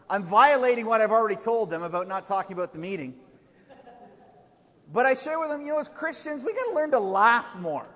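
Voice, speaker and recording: A loud, high-pitched middle-aged man preaching from a pulpit. His chatty, joking sermon rolls out into a big church hall, and a long echo trails after each line. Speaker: pastor. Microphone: pulpit microphone.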